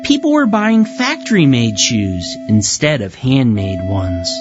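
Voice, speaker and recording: A storyteller reads for young children with very lively, swooping intonation. The delivery is scripted, expressive and deliberate. The sound is a little dull and lo-fi, with music clearly behind. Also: mid-range voice. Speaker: storybook narrator. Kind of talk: scripted children's read-aloud narration. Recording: muffled lo-fi audio with music behind.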